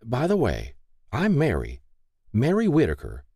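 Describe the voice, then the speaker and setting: A man's voice: mid-range voice, wildly swooping pitch, unhurried, warm tone, slightly rough, no room sound, clean studio sound, voice pushed up, exaggerated voice. A man voicing a kindly, candid older small-town wife in an audiobook. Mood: friendly, coaxing. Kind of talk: audiobook character dialogue.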